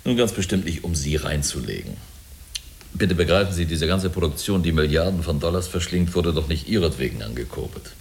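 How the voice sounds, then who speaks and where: A very low-pitched, self-assured company man in a radio drama. His delivery is animated and swings widely as he smoothly explains and reassures. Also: brisk, slight background noise. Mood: assured, explanatory. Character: assured male company representative selling humanlike androids. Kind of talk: German radio drama acting.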